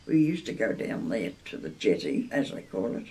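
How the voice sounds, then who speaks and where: A woman's voice: extremely low pitch, very animated, normal speed, a little mellow. A woman, speaking plainly and matter-of-factly. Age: 90s. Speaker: interviewee. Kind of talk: reminiscing interview.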